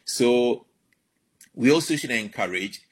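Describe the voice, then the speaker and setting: A man from Ghana, a linguistics professor, explaining his points in a measured, emphatic way with a slightly husky voice. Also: mid-range voice, lively intonation. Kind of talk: radio discussion, explaining.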